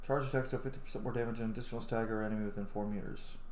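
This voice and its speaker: A man's voice: on the low side, steady tone, very fast, slight background noise. A man in his thirties giving blunt, sweary, casual commentary on a video game he is playing. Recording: roomy sound under loud game music. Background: loud game music.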